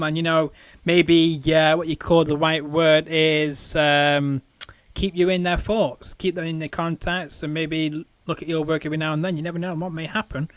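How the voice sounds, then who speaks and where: Male interview host, croaky today, rambling and chatty, high tenor, dry close sound. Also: a bit bright, no echo. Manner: rambling, chatty, many fillers. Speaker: podcast host. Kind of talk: casual interview chat.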